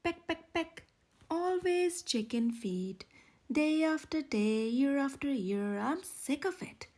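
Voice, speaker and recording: A woman's voice: high voice, expressive, slow, darkish tone. A woman voicing a big brown male rooster in a storybook, fed up but proud, with an emphatic, exclaiming manner.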